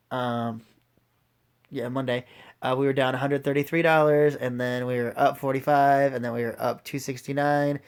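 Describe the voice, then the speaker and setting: A man giving a casual, unscripted video walkthrough, his voice raspy as it recovers from being lost, speaking informally straight to viewers. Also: medium pitch. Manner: informal, addresses viewers directly. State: recovering from a lost voice, occasional throat clearing. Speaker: host of an investing video series.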